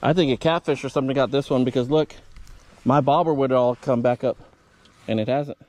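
A man's voice: medium pitch, brisk, a little mellow. A man hosting a fishing vlog, talking casually and folksily to viewers from an open boat on a lake. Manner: folksy, addresses viewers as y'all and guys. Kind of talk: casual chat while fishing, talking to camera.

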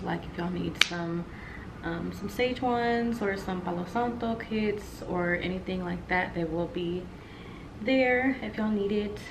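A woman's voice: on the low side, background noise. A young woman in her twenties vlogging casually to camera in her apartment, chatty with lots of fillers. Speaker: lifestyle vlogger. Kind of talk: casual vlog talk to camera. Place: apartment, indoors.

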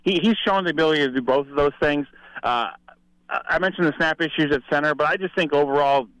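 A man's voice: on the high side, quick pace, a bit bright, no room sound, rough recording. A man giving conversational football analysis as a guest sports reporter.

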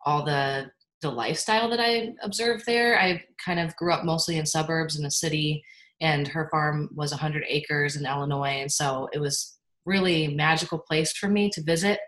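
A young woman from the United States speaking from her bedroom on a video call, relaxed and a little hesitant. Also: low-pitched, normal speed. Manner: relaxed, with fillers and small laughs. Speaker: guest speaker, farmer. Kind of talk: casual presentation on a video call. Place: bedroom at home. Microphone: laptop webcam mic.

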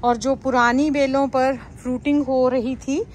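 A woman's voice: high-pitched, level tone, a little slow, slight background noise. A woman casually narrating a garden tour as a vlogger.

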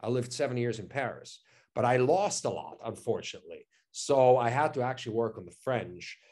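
Enthusiastic actor on a video call. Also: male voice, medium pitch, animated, fairly quick, darkish tone. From Switzerland. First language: German. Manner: enthusiastic, repeats words, many "you know" and "I mean". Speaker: actor, interview guest. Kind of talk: casual interview chat. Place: living room at home, indoors. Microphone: video call, webcam.

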